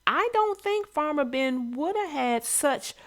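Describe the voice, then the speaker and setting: Animated, emphatic woman with a highish voice. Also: unhurried. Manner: emphatic, advice-giving. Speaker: read-aloud show co-host. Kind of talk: casual discussion of a children's story.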